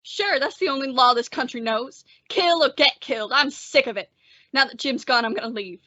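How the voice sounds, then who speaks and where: Woman playing a high-voiced, timid young male sheriff. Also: moderate pace, sharp tone, dry recording, muffled recording. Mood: bitter. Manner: hesitant, stammering, anxious. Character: timid, bookish young male sheriff, nervous insect collector. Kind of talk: old-time western radio drama acting.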